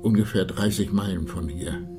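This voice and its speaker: An actor playing a grave bearer of grim news in a German radio play, speaking in a hesitant, measured, husky voice. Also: male voice, low voice, fairly even intonation, lightly acted. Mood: grave, reluctant. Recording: studio radio play with music.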